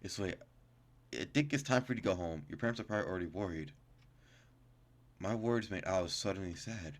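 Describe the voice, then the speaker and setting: Low-voiced man reading game text aloud. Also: animated, fairly quick. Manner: stumbling, self-correcting reader. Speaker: gaming YouTuber.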